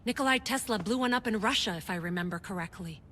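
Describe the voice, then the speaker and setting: A woman's voice: medium pitch, fast, fairly bright tone, close to an everyday voice. An actress playing a caring but firm woman doctor who keeps a colleague's health in check. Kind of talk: game cutscene acting. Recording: long-reverb room with faint noise.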